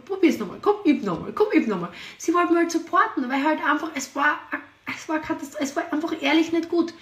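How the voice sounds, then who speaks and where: A woman hosting a motivational podcast. Her voice is high and shrill, and she talks with energy and emphasis, piling up repeated words. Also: reverberant, far from the mic. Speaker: podcast host and sales team leader.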